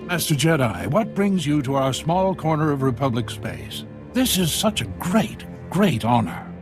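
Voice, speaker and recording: An actor playing a harried, deferential outpost commander in a sci-fi video game, obliging and plainspoken. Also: male voice, on the high side, very animated, measured pace, music in the background. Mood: deferential, worried. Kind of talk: video game dialogue acting.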